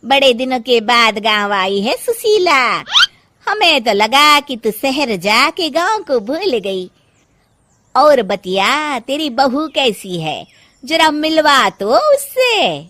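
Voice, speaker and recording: A woman voicing a loud, mocking village woman who gossips and jeers in a drawn-out way. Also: big pitch swings, a little hiss or hum, full character voice. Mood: teasing. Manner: shrill, jeering, drawn-out.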